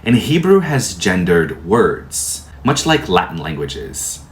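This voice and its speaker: A man whose first language is Portuguese, calmly explaining tarot in a measured, soft-spoken way. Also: low-pitched. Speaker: tarot teacher. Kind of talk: calm explanatory lecture.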